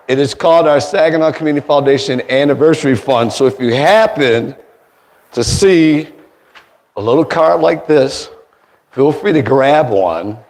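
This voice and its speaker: A man with a cold, his voice raspy, giving a formal, courteous welcome speech as chairman at an indoor event. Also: fairly high pitch, darkish tone. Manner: courteous, thanking people one by one. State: getting over a cold. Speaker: foundation board chairman. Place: indoor museum event space. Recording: long hall reverb.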